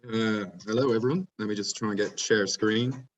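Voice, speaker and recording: A man's voice: on the low side, steady tone, normal speed. Irish man presenting, heard from a distance. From Ireland. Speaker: PhD researcher presenting at a conference. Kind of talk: informal scientific presentation. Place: remote online video conference. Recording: distant-sounding video call microphone.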